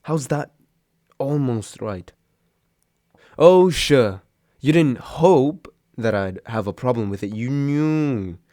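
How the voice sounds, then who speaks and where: A man's voice: mid-range voice, lively intonation, slow pace, pauses often, mellow, no echo, studio quality. A young man acting a grumpy, sarcastic but secretly caring roommate woken from sleep, grumbling and sighing in an intimate roleplay audio drama. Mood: sardonic. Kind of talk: intimate roleplay audio drama, one side of a phone call.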